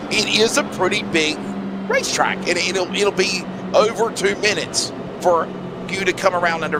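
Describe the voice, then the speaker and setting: A man doing play-by-play for an online race broadcast, his voice hoarse and raspy, rattling off the running order. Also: sits high. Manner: rapid rundown of race order. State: hoarse from allergies. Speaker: play-by-play commentator. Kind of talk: sim racing broadcast commentary. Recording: noisy track sound behind.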